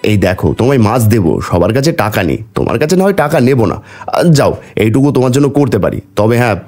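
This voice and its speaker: A greedy, scheming village fish seller from a cartoon, voiced by a man. He is excitable and exclaiming, with very lively pitch over faint music. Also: fast. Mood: bargaining. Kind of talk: cartoon voice acting.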